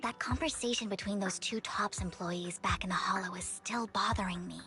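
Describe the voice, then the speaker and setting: A woman voicing an earnest, wounded young woman in a video game, formal and measured in her delivery. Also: medium pitch, pushed and bright, soft background music. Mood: serious, pensive. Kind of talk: English video game voice acting.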